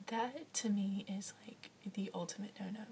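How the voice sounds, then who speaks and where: A young woman whispering softly as she chats through a list of questions, with frequent ums and likes. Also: mid-range voice, steady tone, moderate pace, recorded from a distance, a little hiss or hum. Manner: soft whispering, chatty, many ums and likes. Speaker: vlogger answering questions. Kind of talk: whispered ASMR Q&A.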